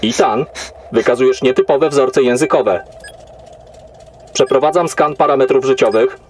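A man's voice: mid-range voice, normal speed, a little mellow, natural voice. A man playing an emotionless military AI, giving clipped, formal reports in a sci-fi audio drama. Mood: cold, detached. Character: cold logical military AI controlling a soldier's body.

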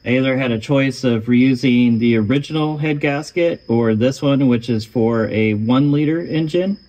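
Guy talking thru a car repair, calm plain explaining, mid-pitched voice. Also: moderate pace, low-quality recording. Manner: calm, matter-of-fact explaining. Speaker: host of a car restoration channel. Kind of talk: voice-over narration of a repair.

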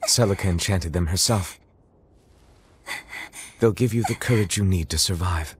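An actor playing a calm, protective male mentor in a game, gentle and solemn, with a husky, breathy voice. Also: sits low, moderate pace, slight background noise, lightly acted. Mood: reassuring, solemn. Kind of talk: dramatic video game acting.

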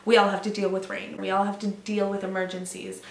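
A woman's voice: mid-range voice, flat delivery, fairly quick, creaky, a little hiss or hum. Upbeat woman talking to camera in an echoey room. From Canada. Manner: enthusiastic, off-the-cuff listing. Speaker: association representative. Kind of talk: upbeat promotional to-camera talk. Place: small indoor room with brick wall. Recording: roomy echo, slightly distant from mic.

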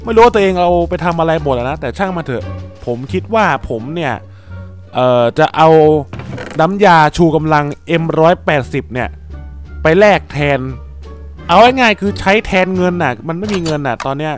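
A man narrating and voicing his own character in a voiced game story, excitable and full of exclamations. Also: fairly high pitch, normal speed, faint music behind. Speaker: gaming channel host and voice actor.

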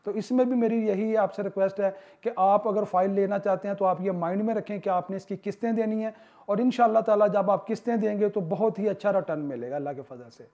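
Male consultant, high and soft-toned, persuasive voice-over full of "uh" fillers, muffled lo-fi sound. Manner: persuasive sales pitch, many "uh" pauses. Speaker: real estate consultant. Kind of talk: promotional voice-over.

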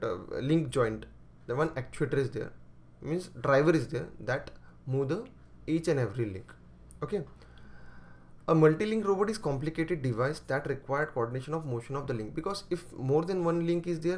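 Very fast-talking Indian man lecturing. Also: fairly high pitch. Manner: switches between English and Hindi, repeats phrases. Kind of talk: explanatory video lecture, reading slides and elaborating.

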